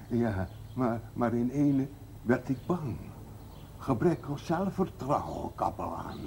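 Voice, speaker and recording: An actor playing a gentle, childlike, simple man fond of birds, stammering and repeating words in a television drama. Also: medium pitch. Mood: unsure. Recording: noisy old television soundtrack.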